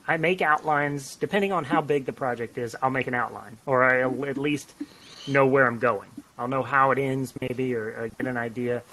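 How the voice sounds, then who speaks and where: A man talking casually as a writer on a podcast, rambling and self-deprecating in an animated way. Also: medium pitch, poor audio. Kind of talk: casual podcast chat about writing. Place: home office, on a remote call.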